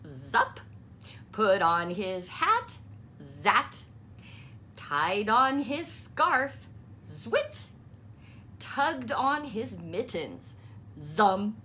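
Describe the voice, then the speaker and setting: A woman reading a children's story aloud, deliberately, with playful emphasis on the sound words. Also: mid-range voice, sharp tone, a little hiss or hum. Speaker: storybook reader. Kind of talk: children's read-aloud narration.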